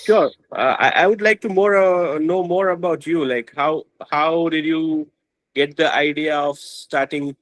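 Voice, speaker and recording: A man's voice: fairly high pitch, no echo, poor audio. Hesitant man, dry sound. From India. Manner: hesitant, halting, many fillers. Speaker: podcast guest. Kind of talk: casual interview talk.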